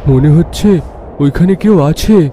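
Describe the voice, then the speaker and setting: A man's voice: fairly high pitch, measured pace, noisy background, natural voice. A man voicing an earnest, determined young man in a cartoon. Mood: resolute. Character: determined young man searching for his beloved. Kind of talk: cartoon voice acting.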